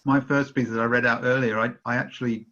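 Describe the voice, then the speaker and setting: A male writer chatting tentatively and haltingly in a spontaneous discussion on an online call. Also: mid-range voice, level tone, pushed and bright, lo-fi sound.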